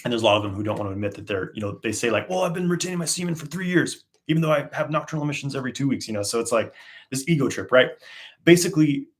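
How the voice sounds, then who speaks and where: A man's voice: mid-range voice, lively intonation, racing. A man in his thirties hosting a live question-and-answer chat, talking loosely with many fillers. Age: 30s. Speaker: host.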